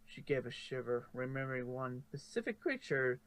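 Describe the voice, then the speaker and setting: A man's voice: mid-range voice, very animated, moderate pace, a bit bright. Man narrating a story. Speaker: narrator of a fan fiction reading. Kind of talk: storybook narration with casual asides.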